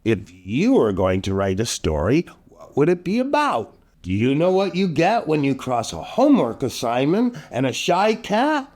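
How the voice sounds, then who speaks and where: Animated high-pitched male children's show host. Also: moderate pace. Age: older. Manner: theatrical, exaggerated, addresses young viewers directly. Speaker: children's storytime host and entertainer. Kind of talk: playful children's show hosting with dad jokes.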